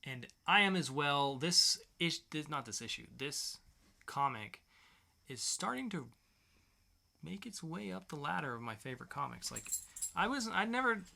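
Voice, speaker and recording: A man's voice: a little high, very animated, normal speed, creaky, sharp tone. A man co-hosting a podcast, chatting casually and enthusiastically about what he reviews, repeating phrases for emphasis. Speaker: podcast co-host. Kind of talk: casual comic book review chat.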